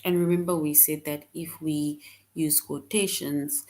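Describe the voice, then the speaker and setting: Low-voiced female teacher, slow and patient, walks children through answer choices. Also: steady tone, a little mellow. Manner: slow, patient, reads answer options aloud. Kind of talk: online grammar lesson for children.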